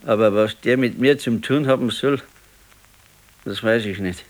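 An actor playing a brooding, stubborn old working-class pensioner and husband with a Bavarian accent in a radio play. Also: male voice, lowish voice, natural voice. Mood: pensive. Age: older. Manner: slow, ruminating, stubborn. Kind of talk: 1970s naturalistic dialect radio play.

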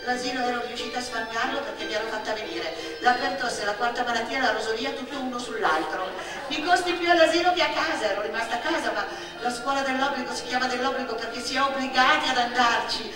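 A woman from Italy telling a theatrical monologue as a stage storyteller, expressive and declamatory. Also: fairly high pitch, quick pace, music in the background. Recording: echoey hall, distant stage microphone.

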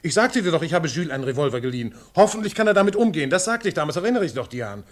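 A man's voice: fairly high pitch, sing-song, rapid-fire. An actor playing an evasive, nervous businessman under suspicion, hesitant and defensive, in radio crime drama style. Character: evasive, nervous male suspect, indignant businessman and lover.

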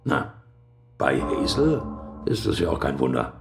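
A man's voice: a bass voice, very animated, measured pace, gravelly, music in the background, full character voice. An actor playing a smug, scheming male friend who speaks in an insinuating, mocking way in a radio crime drama. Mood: sly.

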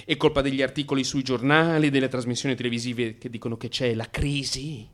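A man's voice: medium pitch, fairly even intonation, a little fast, natural voice. An actor playing an anxious married man in crisis, confessing to his analyst in an agitated, emphatic radio theatre monologue. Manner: agitated, emphatic, swinging from hushed mutter to outburst.

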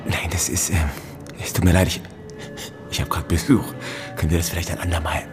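A man's voice: sits low, level tone, fairly quick, over music, lightly acted. An actor playing a nervous, evasive man cornered by police in a radio drama, stammering and flustered. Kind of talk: naturalistic radio drama acting.

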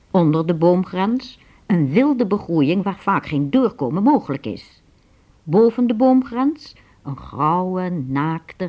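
A woman's voice: low-pitched, darkish tone. A woman narrating a radio drama in a dramatic storytelling style.

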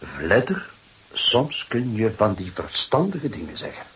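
An actor playing a shrewd police detective in a Dutch radio drama, speaking deliberately and with dry irony. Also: male voice, on the low side, huge pitch swings, fairly bright tone, exaggerated voice. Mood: musing. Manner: deliberate, probing, dryly ironic.

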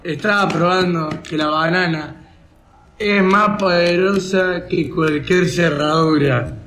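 A man's voice: high-pitched, steady tone, takes it slowly. A teenage boy with an Argentine accent playing a brazen, silly bank robber in an amateur radio comedy. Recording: echoey, noisy room with clicks.

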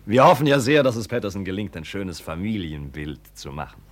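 Pompous farmer, swooping intonation. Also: male voice, low-pitched, big pitch swings, fairly quick. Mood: deferential. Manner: self-important, theatrical. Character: pompous, scornful farmer hosting a family birthday. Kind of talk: 1950 radio play acting.

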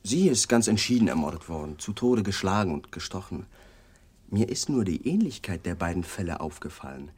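Radio play: probing police detective, husky voice with a lively, rising-and-falling delivery, dogged and polite. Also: male voice, low voice, fairly quick. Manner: calm, persistent, politely needling questions. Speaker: detective questioning a widower. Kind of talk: 1980s German radio drama acting.

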